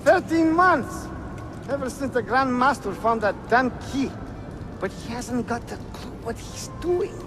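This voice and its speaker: An actor playing an irritable, impatient soldier in a game cutscene, complaining in exasperation. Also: male voice, extremely high pitch, expressive, measured pace. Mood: exasperated. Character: irritable, impatient male soldier guarding a dig. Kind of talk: dramatic video game cutscene acting. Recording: clear music behind the voice.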